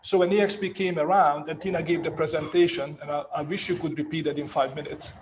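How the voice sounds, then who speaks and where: A man in his fifties with a Hungarian accent, pitched a little above most men. He talks in a loose, chatty way, pokes fun at himself and keeps tagging "right?" onto his points. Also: steady tone, fast talker, slight background noise. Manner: chatty, self-mocking, leans on "right?" tags. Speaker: real estate agent on a panel. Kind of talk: casual panel storytelling.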